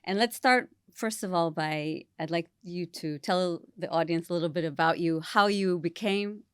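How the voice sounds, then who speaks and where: A woman hosting an interview podcast, enthusiastic and pausing often with fillers. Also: on the low side, smooth, no echo, clean studio sound. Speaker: podcast host.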